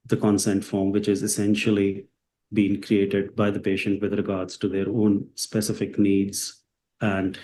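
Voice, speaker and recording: Indian-born man, Hindi first tongue, interviewee; low warm tone, hesitant, slight noise floor. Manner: hesitant, many fillers and restarts. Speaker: psychiatrist and company founder. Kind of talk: interview answers.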